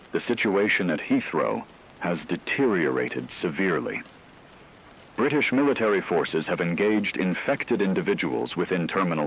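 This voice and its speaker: Deep-voiced male news anchor played by a man. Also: a little hiss or hum, rough recording. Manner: formal, measured, clinical. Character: grave male radio news anchor in zombie apocalypse. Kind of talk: scripted breaking news radio report.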